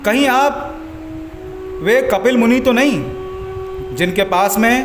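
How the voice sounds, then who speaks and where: A man's voice: very high-pitched, expressive, takes it slowly, noisy background, pitched above the performer's own voice, exaggerated voice. A man voicing a king in a devotional story, with formal, declamatory phrasing. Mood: humble, pleading. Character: commanding male king, stern, later humbly reverent. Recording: echoey voice over music.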